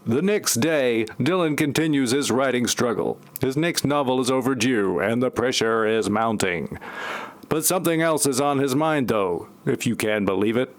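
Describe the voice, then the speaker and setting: A man giving deadpan scripted narration for a parody audio drama. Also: mid-range voice, moderate pace, no room sound. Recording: prominent music under the voice. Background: music.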